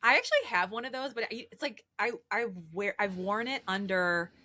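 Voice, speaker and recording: A woman's voice: medium pitch, brisk, bright, forceful tone, no room sound. A woman co-hosting a podcast, chatting casually and joking in a conversational way. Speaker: podcast co-host. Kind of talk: casual comic chat.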